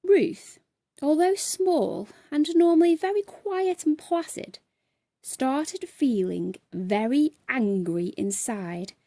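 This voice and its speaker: Slow, expressive woman storyteller. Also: sits high, dry recording. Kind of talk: expressive scripted children's bedtime story narration.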